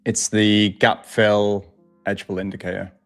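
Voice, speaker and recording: A man hosting a live trading stream, from Wales but without a Welsh accent, explaining probabilities in relaxed, casual chat. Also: on the low side, a little slow, faint music behind. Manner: explains stats plainly, relaxed banter.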